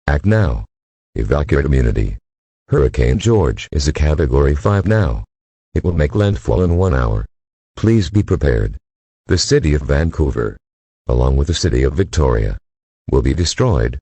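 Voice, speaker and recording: A man's voice: rumbling low, big pitch swings, normal speed, mellow, breathy edge, dry recording. A man delivering a scripted emergency broadcast announcement in a formal, stilted manner.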